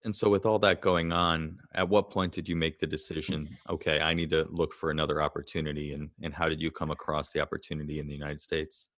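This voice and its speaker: Deep-voiced man hosting a casual podcast. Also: little pitch movement, fast talker, few pauses, fairly bright tone. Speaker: podcast host. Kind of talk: casual interview.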